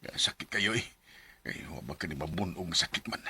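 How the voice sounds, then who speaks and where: An actor playing a stubborn, complaining seventy-year-old farmer in a radio drama, with a Visayan Philippine accent and folksy way of talking. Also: male voice, deep voice, lively intonation, normal speed, bright, forceful tone, full character voice. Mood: pained, agitated. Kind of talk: radio drama acting with first-person narration.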